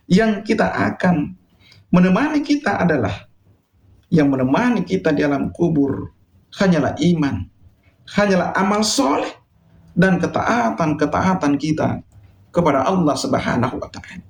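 Echoey, higher-voiced male preacher. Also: vocal fry. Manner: solemn, exhorting, deliberate with emphatic phrasing. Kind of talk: sermon addressed to listeners. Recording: echoey, reverberant sound.

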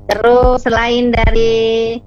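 A woman, a listener calling in with casual, halting questions. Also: a little high, monotone, a little mellow, dull audio, faint music behind.

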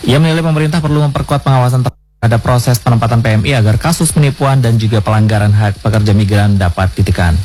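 A man reads a news introduction at a fast clip. His pitch sits in a middle range, and there is faint noise behind him. It has the feel of a radio news bulletin. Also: darkish tone. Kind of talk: news presentation and interviewing.

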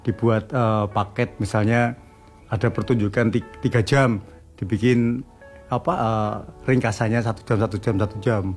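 A man with a Javanese accent co-hosting a casual radio chat, upbeat and agreeable, quick to affirm. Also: lowish voice, fairly even intonation, faint music behind. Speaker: radio co-host. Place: radio studio.

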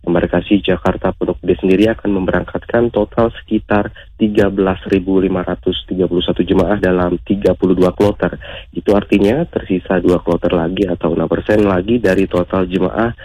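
Very deep-voiced Indonesian male radio reporter. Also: steady tone, fairly quick, mellow, no room sound, rough recording. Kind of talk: live news report.

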